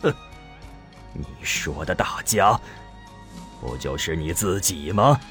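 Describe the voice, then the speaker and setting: A man's voice: low voice, lively intonation, slow pace, a bit bright, full character voice. A man playing a proud, angry old astronomer and university president in a theatrical, emphatic radio drama style. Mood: scornful, earnest. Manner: theatrical, indignant, emphatic delivery. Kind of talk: Chinese radio drama acting. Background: music.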